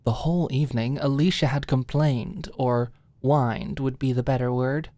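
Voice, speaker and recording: A woman's voice: extremely low pitch, steady tone, normal speed, dark tone, dry recording. A woman reading a scripted story as an ASMR audiobook narrator.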